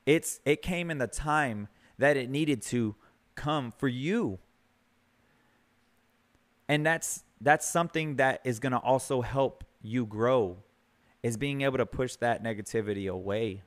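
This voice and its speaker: A man's voice: mid-range voice, unhurried. A man in his thirties hosting a podcast, giving an earnest, conversational motivational talk, often repeating words as he builds a point. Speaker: podcast host. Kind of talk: motivational monologue.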